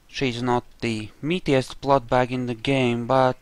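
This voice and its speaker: A man's voice: medium pitch, little pitch movement, takes it slowly, smooth, dry recording. A man giving casual let's play commentary on a roleplaying game, thinking aloud in a rambling way as he goes. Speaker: gamer narrating his own roleplay playthrough.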